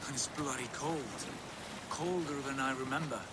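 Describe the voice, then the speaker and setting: An actor playing a proud, embittered Viking lord in a video game, speaking in a solemn, declamatory way. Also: male voice, mid-range voice, fairly even intonation, moderate pace, heavy background noise, close to an everyday voice. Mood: gloomy. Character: embittered, proud Viking lord, grown man, fervent and brooding. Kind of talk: dramatic video game acting.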